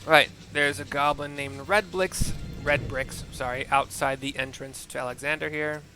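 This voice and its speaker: Bright-voiced man chatting over gameplay. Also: a little high, steady tone, normal speed, faint music behind. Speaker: gaming video host. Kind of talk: casual chat over gameplay.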